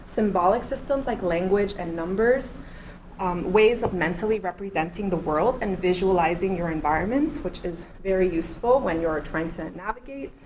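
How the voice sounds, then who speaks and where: A woman's voice: mid-range voice, darkish tone, slight background noise, low-quality recording. A woman in her thirties from Miami, a postdoctoral researcher giving an academic talk. She explains her points step by step and works through them in order. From Miami, United States. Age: 30s. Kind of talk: academic lecture. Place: lecture room.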